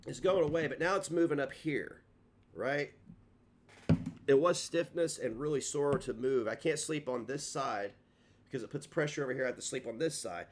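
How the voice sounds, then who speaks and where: A man's voice: medium pitch, normal speed, a little hiss or hum. Rambling man on a livestream. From Kentucky. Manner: rambling and self-mocking, trips over his words. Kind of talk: casual livestream chat.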